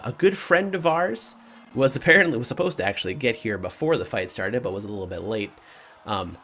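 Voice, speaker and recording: A man improvising tabletop game narration as game master, informal and halting in delivery. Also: medium pitch, fairly bright tone, slight background noise.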